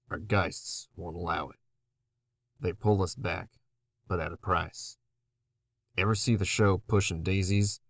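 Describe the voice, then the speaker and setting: A man's voice: sits low, creaky, no room sound. A man playing a battered, foul-mouthed undead antihero, telling his story in a wry, conversational way in a horror audio drama. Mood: wry, weary. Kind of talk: first-person horror audio drama narration.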